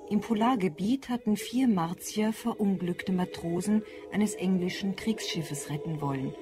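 A woman's voice: mid-range voice, measured pace, over music. A woman reading scripted narration that links the scenes of an audio drama.

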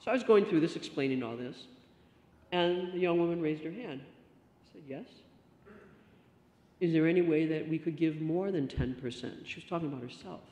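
A middle-aged woman pastor giving a stewardship talk from the lectern, telling a story with lively gestures. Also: deep voice, moderate pace, pauses often. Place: church sanctuary. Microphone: stand mic at lectern. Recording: echoey church hall reverb.

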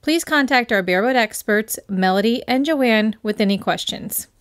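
A woman reading a scripted promotional voice-over in an even, informative manner. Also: a little high, normal speed, no room sound, clean studio sound, soft background music. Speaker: voice-over narrator.

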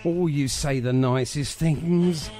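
A man's voice: on the high side, takes it slowly, music in the background. A man hosting a casual radio show from a home studio, chatty and jokey. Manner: chatty, jokey, lively intonation. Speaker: radio DJ and host. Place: home studio with doors open.

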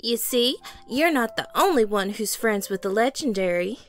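A woman voicing a proud, vain sea-lion siren in a cartoon comic dub, expressive and a little sassy. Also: on the high side, normal speed, faint music behind, natural voice. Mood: matter-of-fact. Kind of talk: animated comic dub acting.

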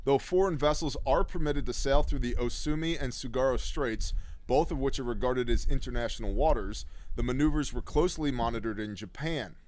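A man's voice: medium pitch, brisk, darkish tone, no echo. Sardonic man reading news with dry asides. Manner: sardonic, blunt asides between read passages. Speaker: host of a preparedness news show. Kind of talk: reading news articles aloud with sarcastic commentary.

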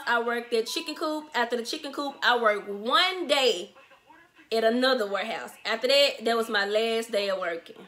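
A twenty-year-old woman from Memphis with a Southern accent, talking straight to camera in an animated, slangy way and repeating herself for emphasis. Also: on the high side. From Memphis, Tennessee. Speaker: vlogger, small business owner. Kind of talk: casual Q&A vlog to camera. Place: bedroom, sitting on a bed, indoors.

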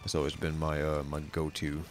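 A young man with a very deep voice casually commenting on his game, laid-back and swearing a lot. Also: completely flat delivery, fairly quick, music in the background. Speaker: game streamer. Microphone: studio mic with bass roll-off.

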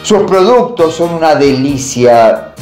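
Man playing a slow, gushing, vain priest, roomy echo. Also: a little high, lively intonation, a little mellow. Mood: fussy, curious. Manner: gushing, theatrical, stammering when flustered. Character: vain, flirtatious, fussy male priest. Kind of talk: radio comedy acting. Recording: roomy echo, faint music.

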